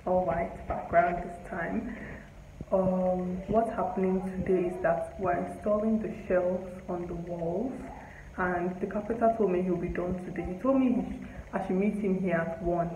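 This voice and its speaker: A woman's voice: on the low side, a little slow, a little hiss or hum. A young Nigerian woman with a Nigerian accent chats casually to a handheld camera, thinking aloud with plenty of fillers as she walks through a small, bare apartment. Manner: relaxed, chatty, thinking aloud with many fillers. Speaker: vlogger. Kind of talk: casual vlog chat to camera. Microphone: handheld camera close to face. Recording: echoey empty rooms with background music.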